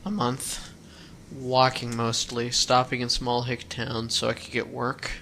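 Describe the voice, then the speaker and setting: Teen runaway boy, guarded but earnest, bright voice, faint hiss behind. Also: mid-range voice, monotone, a little slow, creaky. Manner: plain-spoken, rambling storyteller with folksy words. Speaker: hitchhiking runaway. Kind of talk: radio drama acting.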